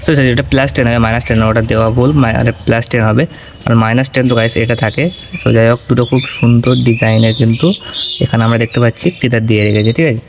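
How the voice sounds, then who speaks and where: Fast-talking man. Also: mid-range voice, flat delivery, background noise. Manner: explanatory, often checks the listener follows with tag questions. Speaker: audio gear reviewer. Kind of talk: informal product walkthrough.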